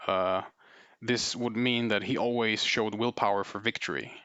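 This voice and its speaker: A man's voice: on the low side, steady tone, normal speed, fairly bright tone, clear, resonant tone, highs cut off. A man presenting a video essay, explaining his points off the cuff with many fillers and tag questions. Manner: thinking aloud with many fillers and "right?" tags. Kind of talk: unscripted explanatory talk.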